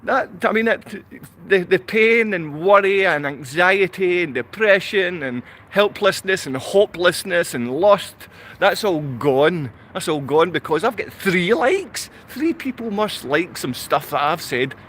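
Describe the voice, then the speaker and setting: A man's voice: high-pitched, animated, unhurried, a bit bright. A man from Glasgow with a Glaswegian Scottish accent, talking unscripted to livestream viewers in a rambling, repetitive way full of rhetorical questions. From Glasgow, Scotland.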